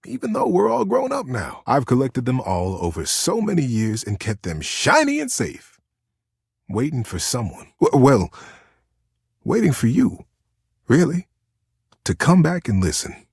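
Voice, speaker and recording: Folksy, swooping teddy bear. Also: male voice, medium pitch, wildly swooping pitch, a little slow, vocal fry, pauses often, a little mellow, husky, no echo, clean studio sound, full character voice. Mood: touched, tender. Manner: folksy, earnest, cozy wording with hesitant stammers. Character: devoted, sentimental old childhood teddy bear, folksy and gentle. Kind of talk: video game character acting.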